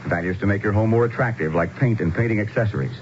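A man's voice: lowish voice, fast. A man reading a scripted radio advertisement as a commercial announcer. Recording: muffled, noisy radio broadcast.

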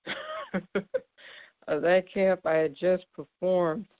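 A woman in her thirties with a deep, low voice, giving an informal, earnest devotional sermon and laughing lightly between points. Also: wildly swooping pitch, extremely slow, dry recording, dull audio. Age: 30s. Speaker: speaker giving a devotional talk.

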